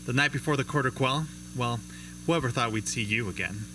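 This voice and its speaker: A man playing a probing, sympathetic television interviewer with polished, measured delivery in an amateur radio drama. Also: mid-range voice, noisy background, lightly acted. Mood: curious, probing. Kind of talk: amateur radio drama acting, talk show host.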